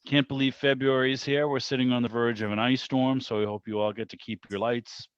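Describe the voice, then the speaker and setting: A man's voice: mid-range voice, flat delivery, a little fast, clean tone. An American man hosting an online club meeting in a chatty, informal, meandering way, with casual welcomes and questions. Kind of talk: casual spoken welcome and interview. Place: indoors on a video call. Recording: muffled, roomy video-call audio.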